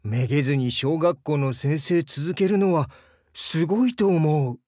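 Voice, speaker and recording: A man playing a gentle, bashful crocodile diving instructor in a Japanese game, earnest and hesitant, easily flustered. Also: a little high, measured pace, no room sound, studio quality. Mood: gentle, wistful. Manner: soft-spoken, hesitant, earnest, stammers when flustered. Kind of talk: Japanese game character voice lines.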